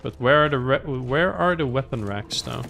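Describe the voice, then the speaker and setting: A man's voice: medium pitch, lively intonation, normal speed, gravelly, fairly bright tone, faint music behind. A man giving casual, chatty live gameplay commentary as a streamer, thinking aloud as he plays. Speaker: game streamer.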